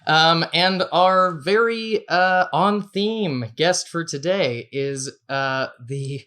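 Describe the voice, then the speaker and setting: A man's voice: on the high side, takes it slowly, sharp tone, clear, resonant tone. A man hosting a podcast in casual conversation about film. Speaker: podcast host.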